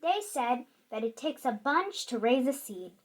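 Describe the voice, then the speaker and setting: A woman's voice: high voice, sing-song, a little slow. A woman reading a children's picture book aloud in a theatrical, emphatic narrating style. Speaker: children's story reader. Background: music.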